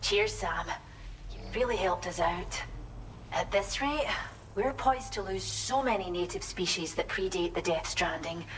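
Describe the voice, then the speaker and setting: An actress playing a friendly, grateful wildlife sanctuary researcher in a video game, explaining things gently and colloquially. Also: female voice, on the low side, a little slow, slight background noise, lightly acted. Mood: warm, grateful. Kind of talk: video game character dialogue.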